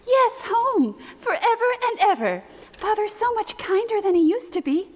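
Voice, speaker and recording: Very high-voiced eager little girl. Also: big pitch swings, moderate pace. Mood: joyful affection. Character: eager, loving little sister, a young girl. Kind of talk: theatrical radio drama acting.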